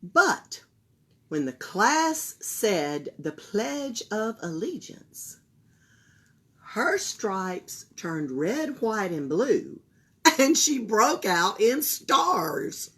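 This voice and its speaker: A woman reading a children's picture book aloud for a library, slow and expressive. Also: medium pitch. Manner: slow, expressive, breathy storytelling. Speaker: library storyteller.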